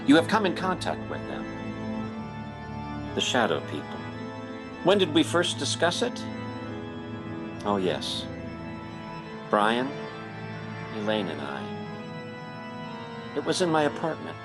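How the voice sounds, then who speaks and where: An actor playing a grave, concerned man who narrates and talks with dramatic pauses in old-time radio style. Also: medium pitch, wildly swooping pitch, loud music behind the voice, exaggerated voice. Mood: grave, reminiscing. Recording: radio drama with musical underscore.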